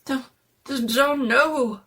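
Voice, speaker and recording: A woman's voice: high-pitched, drawn-out words, a bit bright, pitched above the performer's own voice, full character voice. A woman voicing a cocky teenage prankster boy who taunts and stammers in a dramatic story reading. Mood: terrified, stammering.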